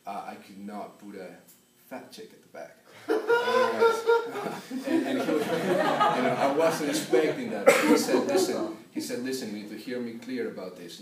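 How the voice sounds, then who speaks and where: A young man from Peru telling an unscripted personal story as a class speech, halting and full of filler sounds. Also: medium pitch, noisy background. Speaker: student giving a class speech. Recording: echoey room, voice from a distance.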